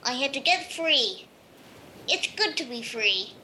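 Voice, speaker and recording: A woman's voice: high-pitched, expressive, a little slow, sharp tone, a little hiss or hum, heavily acted, rough recording. A woman voicing a timid, earnest male lab animal on the run in an audio drama, hesitant and naive. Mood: weary relief.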